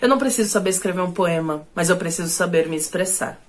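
A Brazilian woman with a Brazilian Portuguese accent performing spoken word poetry in a declamatory way, with sudden drops and surges in pitch and loudness. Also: fast talker, faint music behind.

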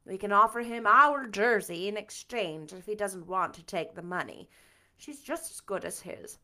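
A lower, clipped voice of a strict older woman, scolding and practical, as a woman reading aloud puts her on. She talks briskly, with little patience. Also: pushed and bright, lightly acted. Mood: firm. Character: stern, no-nonsense older woman guardian.

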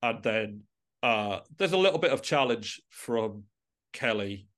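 A man's voice: lowish voice, animated, unhurried, a bit bright. A man speaking as a musician guest in a casual podcast interview, with an informal, self-deprecating and enthusiastic way of talking.

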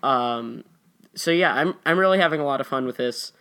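A man's voice: medium pitch, moderate pace, bright, forceful tone, clear, resonant tone. A young American man chatting casually as an actor guest on a podcast. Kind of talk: casual chat.

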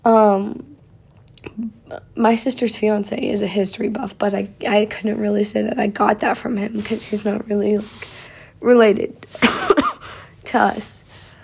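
A woman's voice: mid-range voice, fairly even intonation, takes it slowly, vocal fry, long pauses, darkish tone, slightly rough. A young woman, hosting a casual solo podcast, a little stuffy from a cold and chatty with lots of fillers. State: slightly congested from a cold. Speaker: podcast host.

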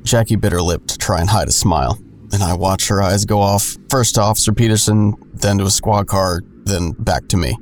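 A man's voice: on the low side, a little fast, slight background noise, natural voice. An actor playing a sardonic, nosy man who narrates his own misadventures in an audio drama with wry, self-deprecating, crude humor. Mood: wry, confiding. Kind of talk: first-person scripted narration.

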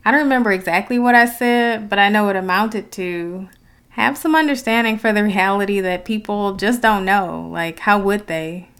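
Smooth-voiced American woman podcaster. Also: mid-range voice, moderate pace, fairly bright tone. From Detroit, United States. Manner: articulate, conversational. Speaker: podcast host. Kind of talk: reflective solo podcast monologue.

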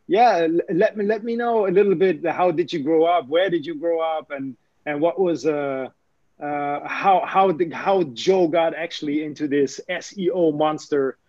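Halting male podcast host, dry sound. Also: fairly high pitch, darkish tone, dry recording, highs cut off. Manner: halting, many fillers and restarts. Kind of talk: casual interview.

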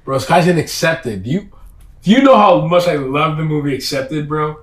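A man's voice: fairly high pitch, animated, moderate pace. A young man speaking casually as an interview guest, in slangy, emphatic phrases that he often repeats. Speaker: interview guest, comedy event host. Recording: echoey room.

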